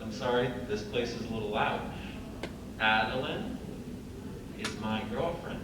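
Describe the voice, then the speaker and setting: A man's voice: fairly low pitch, a little slow, close to an everyday voice. A man playing a polite, eager and slightly awkward children's doctor in a script reading. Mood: awkward, pleased. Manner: nervous, chuckling, hesitant politeness. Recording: very echoey hall, far mic, clear noise.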